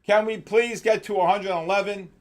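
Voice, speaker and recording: Gravelly-voiced New York trading host. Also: male voice, sits high, steady tone. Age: middle-aged. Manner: rambling, jokey, shouts out viewers by name; drawn-out announcer-style calls. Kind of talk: casual live market chat.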